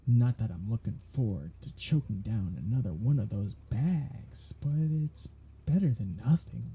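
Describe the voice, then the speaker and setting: A sardonic male vampire, played by a man in an ASMR audio drama, bantering and flirting at an unhurried pace. His voice is dark and gravelly, with a husky, breathy grain. The pitch swings freely as he teases. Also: lively intonation, full character voice. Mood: teasing.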